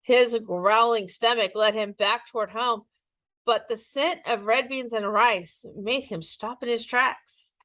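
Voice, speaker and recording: A woman with a somewhat high voice reads a children's story aloud like a schoolteacher. The sound is close and dry, and the delivery is expressive storytelling. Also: unhurried, no room sound. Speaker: teacher reading a picture book aloud.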